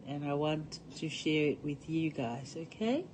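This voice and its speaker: Slow-paced New woman reading aloud. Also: deep voice, lively intonation, a little mellow, a little hiss or hum, poor audio. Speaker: storytime reader. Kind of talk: reading a picture book aloud to children.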